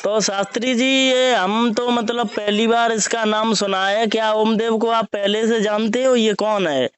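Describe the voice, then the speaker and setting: Clear, higher-pitched man asking questions. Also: fairly even intonation, measured pace. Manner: deferential, prompting with questions and short acknowledgements. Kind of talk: informal phone interview. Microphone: recorded phone call.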